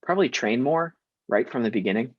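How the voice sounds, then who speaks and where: Young man, born in Syria, mid-pitched, casual and emphatic, laughing often, dry room. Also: expressive, a little fast, no room sound, highs cut off. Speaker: guest actor. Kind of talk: casual interview chat.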